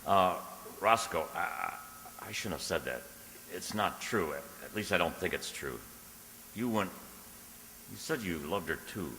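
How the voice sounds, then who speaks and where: A man's voice: low-pitched, expressive, measured pace, sharp tone, slight background noise, full character voice. A man acting an anxious, lovelorn man in a radio drama, earnest and pleading, with hesitant, stammering speech. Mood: uneasy. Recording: long hall reverb, music underneath.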